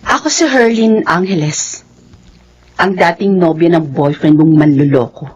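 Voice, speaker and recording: An actress with a Filipino accent playing a bitter, jilted young woman in a radio drama, her voice husky. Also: fairly low pitch, a little slow, darkish tone, dull audio, close to an everyday voice. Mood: cold bitterness.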